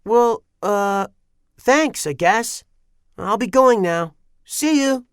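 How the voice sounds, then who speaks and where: A woman's voice: a little high, animated, takes it slowly, clear, resonant tone, no echo, studio quality. A woman voicing a young boy bear cub who speaks up earnestly to a bully. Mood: unsure. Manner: indignant, earnest.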